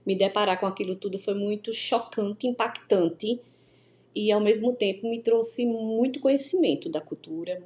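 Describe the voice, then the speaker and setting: A Brazilian woman from the Northeast telling her story as an interview guest over a video call, in a lively, stop-start way with many restarts. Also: medium pitch, normal speed. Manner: animated, many restarts and stammers. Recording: echoey room with faint ambience.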